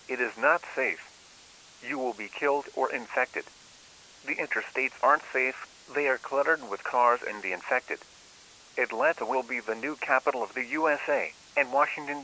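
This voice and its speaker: A man's voice: medium pitch, slight background noise, low-quality recording. A man playing a grim government emergency announcer, reading scripted warnings in a stern, official way over a radio. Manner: stern, flat, official delivery.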